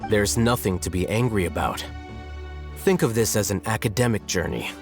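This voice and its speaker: An actor playing a composed, aloof, intellectual scholar in a video game, speaking in a calm, dry, cerebral way. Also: male voice, on the low side, moderate pace, close to an everyday voice. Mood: detached, dry. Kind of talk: video game character acting. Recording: long reverb, faint music behind.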